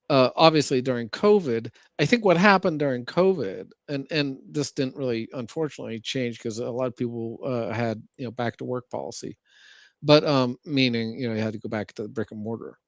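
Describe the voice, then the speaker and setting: A man with an American accent in casual chat, talking in a halting, conversational way. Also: medium pitch, no room sound, highs cut off. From United States.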